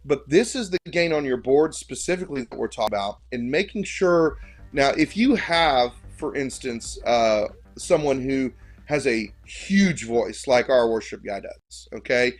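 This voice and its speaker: A man's voice: mid-range voice, lively intonation, unhurried, soft background music. A man with a big voice co-hosting a casual podcast chat about church media, explaining technical points straight to listeners. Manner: instructive, addresses listeners directly.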